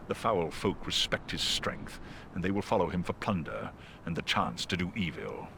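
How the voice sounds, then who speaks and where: Gravelly, deep, noble giant eagle. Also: male voice, pushed and bright, slight background noise, exaggerated voice. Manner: stately, formal, declamatory. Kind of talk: fantasy game cutscene acting.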